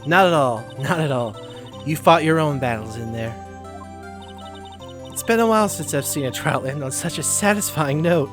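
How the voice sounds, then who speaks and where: A woman voicing a calm, kindly female chief of a law office in a video game. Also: low-pitched, moderate pace, music in the background. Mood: pleased, calm.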